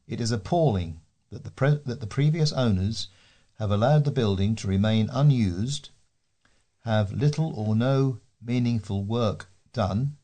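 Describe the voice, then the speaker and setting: A man with a British accent reads scripted local news as a volunteer newsreader. His voice sits a little lower than most men's. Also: dark tone.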